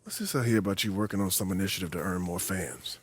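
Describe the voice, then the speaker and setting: An actor playing a stern, protective male mentor in a video game, with a husky voice and a controlling manner. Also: low voice, fast talker. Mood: stern, reproving. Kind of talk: video game cutscene drama.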